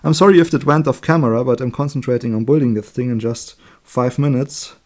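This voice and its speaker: A man with a German accent, a native German speaker, giving an informal hands-on electronics tutorial in a chatty, halting way, correcting himself as he works. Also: mid-range voice, a little mellow. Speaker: electronics hobbyist demonstrating a circuit build. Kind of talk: informal hands-on tutorial, explaining while working.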